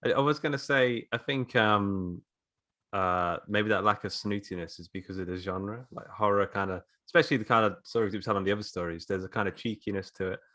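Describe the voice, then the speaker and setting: A man's voice: lowish voice, fairly quick, sharp tone. A man chatting casually as a podcast panelist in an easygoing way. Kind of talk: casual chat.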